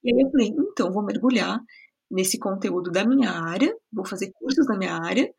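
A middle-aged woman from São Paulo state, Brazil, speaks with a slightly husky, breathy tone. She talks reflectively and warmly, with frequent fillers and small restarts. Her pitch sits in an ordinary middle range. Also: animated, fairly quick. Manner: reflective, warm, with many filler words and restarts. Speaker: podcast guest. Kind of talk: casual conversational interview.

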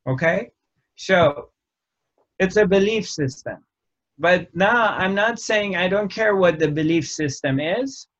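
A man giving a reflective spiritual talk, speaking in short phrases with frequent pauses. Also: sits high, animated, a bit bright. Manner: slow, measured, pausing often between phrases. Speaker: spiritual teacher. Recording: dull lo-fi recording.

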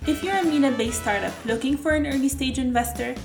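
A woman's voice: high-pitched, fast, creaky, echoey room, over music. A woman hosting an interview podcast, reading a polished introduction and then asking questions conversationally. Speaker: podcast host.

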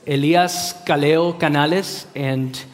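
Male guest preacher, 30s, fairly high voice, halting delivery with fillers, reverberant hall. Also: fairly even intonation. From Costa Rica. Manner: halting, many fillers and restarts. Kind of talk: sermon with personal introduction. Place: large hall.

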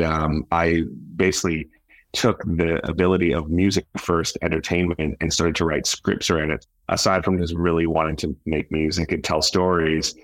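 Man, company founder in interview, very deep voice, monotone delivery. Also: dry recording, poor audio. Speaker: media company founder and CEO, interview guest. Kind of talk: interview talk.

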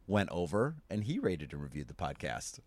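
Male podcast host, lowish voice, scratchy and worn, relaxed chatty delivery, dry close sound. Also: normal speed, no echo. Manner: relaxed, laughs easily, many fillers. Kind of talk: casual chat. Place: camper trailer.

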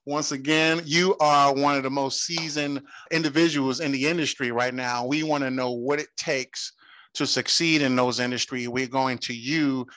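A man's voice: medium pitch, steady tone, moderate pace, a bit bright, poor audio. Upbeat man hosting a podcast. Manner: upbeat, encouraging interviewer. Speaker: podcast host. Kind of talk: phone interview.

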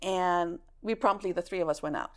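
A woman's voice: fairly low pitch, moderate pace. A middle-aged woman raised in Greece, chatting as a guest and telling stories with frequent laughter. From raised in Greece, born in California. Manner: animated storyteller who laughs often. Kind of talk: casual chat, storytelling.

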